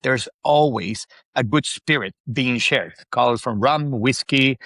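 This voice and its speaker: A man's voice: medium pitch, unhurried, fairly bright tone, dry recording, clean studio sound. A middle-aged man from Venezuela, a native Spanish speaker with a Venezuelan accent, telling stories conversationally as an interview guest. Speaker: interview guest, spirits industry executive.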